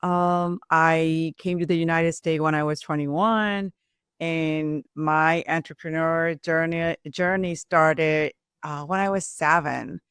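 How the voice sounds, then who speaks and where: Clear-voiced woman with a Burmese accent. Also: sits low, steady tone, fairly bright tone, dry recording, studio quality. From Myanmar (Burma). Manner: non-native English with simple, halting phrasing. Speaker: restaurant owner and entrepreneur. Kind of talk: personal storytelling in an interview.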